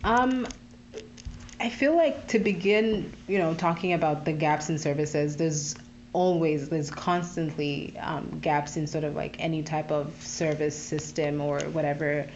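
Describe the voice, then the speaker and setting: A woman from Zambia, a podcast guest who works with youth, talking in a thoughtful, searching way with many pauses and restarts. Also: sits low, fairly even intonation, a little mellow, dull audio, slight background noise. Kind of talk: casual interview talk.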